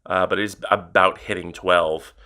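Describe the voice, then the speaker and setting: A man with a very deep voice reviewing gear in a casual vlog, talking in a relaxed way with many uhs. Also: moderate pace. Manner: relaxed, filler-heavy talk with many uhs.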